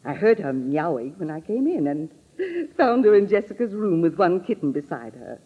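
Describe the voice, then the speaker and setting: A woman's voice: lowish voice, sing-song, moderate pace, slightly rough, narrow, tinny audio. A woman acting a determined, suspicious middle-aged sister-in-law in a 1940s radio drama, blunt and accusing, on an old radio recording. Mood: brisk, watchful.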